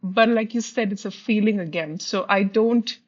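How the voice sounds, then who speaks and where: A woman's voice: mid-range voice, normal speed, a little mellow. A woman from India, co-hosting a podcast in a musing, casual chat and laughing often. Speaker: podcast co-host. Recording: slightly dull audio.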